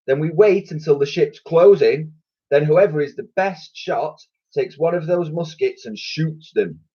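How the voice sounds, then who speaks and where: A man's voice: on the high side, unhurried, slightly rough, muffled recording, pitched above the performer's own voice. A man voicing an eager, clever young girl in a children's story. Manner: eager, keen to be heard.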